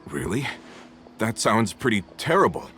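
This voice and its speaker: Tired middle-aged laborer, low voice, down-to-earth, grumbling, good-hearted, game dialogue, soft music behind. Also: male voice, very animated, unhurried. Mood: weary, resigned. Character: weary, down-to-earth working family man, grumbling but good-hearted. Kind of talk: video game character dialogue.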